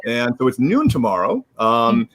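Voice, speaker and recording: Man, live stream host, mid-pitched, rambling, restarts sentences, casual announcements. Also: wildly swooping pitch, unhurried, a bit bright, rough recording. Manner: rambling, with many restarts and fillers. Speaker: host of an online author readalong.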